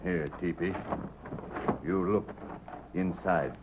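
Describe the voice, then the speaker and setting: An actor playing a solemn, cautious village chief in stilted, terse broken English in a 1930s radio western. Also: male voice, low voice, steady tone, slow, background noise. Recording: tinny, band-limited old 1930s radio recording.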